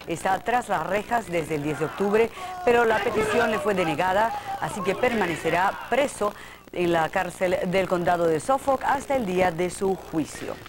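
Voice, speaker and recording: Lower-voiced female news anchor, noisy broadcast tape. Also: lively intonation, a little fast. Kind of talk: scripted television news narration.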